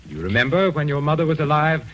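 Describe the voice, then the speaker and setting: A man's voice: fairly high pitch, lively intonation, quick pace, fairly bright tone, a little hiss or hum. An actor playing an insistent, aggrieved widowed father in a theatrical, formal old-time radio drama style. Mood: strained coaxing.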